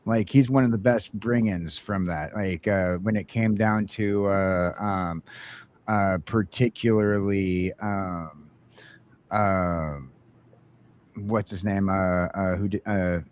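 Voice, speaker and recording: A man hosting a casual morning chat show, rambling through geeky talk with lots of fillers and excited jumps in pitch. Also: slow pace.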